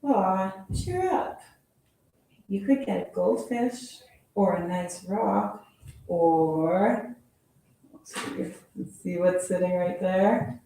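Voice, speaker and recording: Brief: woman teacher reading picture books to kids, lively sing-song delivery, mellow tone, very echoey room, mic far off. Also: mid-range voice, extremely slow, creaky, long pauses. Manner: expressive, playful storytelling with sound effects. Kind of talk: children's picture-book read-aloud.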